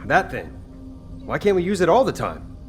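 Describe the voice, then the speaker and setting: A man's voice: a little high, wildly swooping pitch, normal speed, darkish tone, soft background music. An actor playing a cocky, exasperated young male soldier in a video game, brash and teasing. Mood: casual, teasing.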